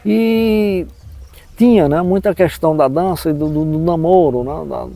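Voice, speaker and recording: Older northeastern Brazilian man, higher-pitched, mellow. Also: unhurried, vocal fry. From backlands of northeastern Brazil. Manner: explanatory, frequently adding a tag question. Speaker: storyteller recalling local life, professor. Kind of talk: reminiscing in an interview.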